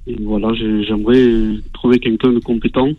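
A man's voice: lowish voice, normal speed. Southern-accented male caller, muffled. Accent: southern French. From Bordeaux, France. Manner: relaxed, casual, lots of fillers. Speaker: caller, business owner recruiting. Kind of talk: phone call-in to a radio show. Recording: muffled phone line on radio.

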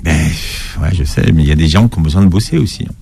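A man's voice: low-pitched, fast, creaky, mellow, husky. A man hosting a call-in radio show, chatty and joking, often cutting in on callers. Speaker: radio host. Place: radio studio.

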